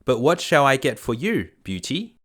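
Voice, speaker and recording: A kindly merchant father from a fairy tale, read out by a man. He is meek and obliging, with a mid-pitched voice that rises and falls widely, storybook style. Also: very animated, normal speed, fairly bright tone.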